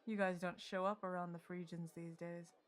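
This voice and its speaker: A woman's voice: fairly low pitch, level tone, normal speed, lightly acted. A woman playing a wry, teasing winged adventurer in an audio roleplay, dry and nonchalant. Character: winged female Coatla adventurer, wry and unbothered tavern drinker. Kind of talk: audio roleplay drama acting. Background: music.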